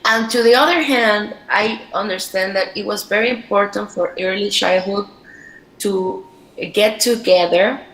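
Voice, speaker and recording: A woman from Panama with a Spanish accent, giving a halting online talk as a storyteller. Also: mid-range voice, a bit bright, reverberant, low-quality recording. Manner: slow, halting, many fillers. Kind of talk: online presentation. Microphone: video call.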